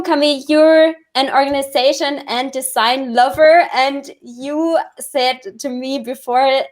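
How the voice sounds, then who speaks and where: A woman with a German accent hosts an online stream over a video call. Her voice sits a little high, and she talks in eager bursts, stacking words like "very, very" and laughing between sentences. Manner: enthusiastic, repeats words, laughs often. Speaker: livestream host. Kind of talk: casual livestream hosting.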